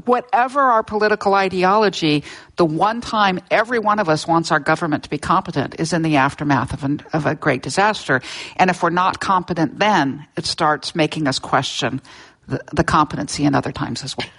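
An older woman from Southern California speaks as an interview guest, her voice sitting somewhat low. She tells history in a plain, explanatory way, often restarting words mid-phrase. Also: brisk, fairly bright tone, slightly rough. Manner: explanatory, with frequent restarts and fillers. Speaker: seismologist guest discussing her book. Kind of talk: relaxed interview storytelling.

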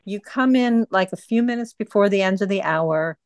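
A woman hosting an informal book group discussion, speaking haltingly and often repeating her phrases. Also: mid-range voice.

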